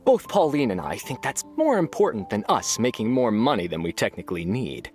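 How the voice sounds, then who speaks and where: Man as earnest shopkeeper, very animated. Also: medium pitch, fairly quick, soft background music, close to an everyday voice. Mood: sincere. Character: sincere, generous male shopkeeper. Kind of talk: animated video game acting.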